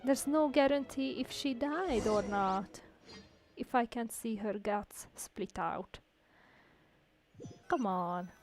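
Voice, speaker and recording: A woman giving casual, blunt, deadpan reaction commentary over a video game. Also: fairly high pitch, slow, long pauses. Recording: game music faintly behind.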